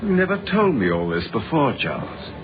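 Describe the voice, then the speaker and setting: Tinny old radio play with music under, a curious guy chatting, lowish voice. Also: huge pitch swings, a little fast. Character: inquisitive male friend of an inspector, conversational. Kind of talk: old radio drama acting.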